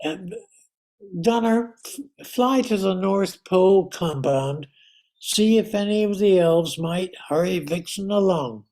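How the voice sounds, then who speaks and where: Woman as a mellow, slow-spoken lead reindeer. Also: mid-range voice, expressive, low-quality recording. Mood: weary, polite. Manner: polite, measured. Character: patient, courteous lead reindeer organizing the team. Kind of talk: amateur radio play acting.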